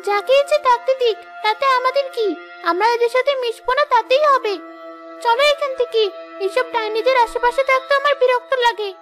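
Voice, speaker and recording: A snobbish cartoon witch with an extremely high, childlike pitch. She gossips scornfully in fairy tale style. Music runs clearly underneath. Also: brisk.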